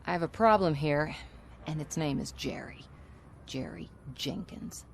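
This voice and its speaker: A sly boss lady in a game, low voice, assertive and manipulative. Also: measured pace, vocal fry, a little hiss or hum. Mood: sly, conspiring. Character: scheming, manipulative woman running a commercial shoot. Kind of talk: video game cutscene acting.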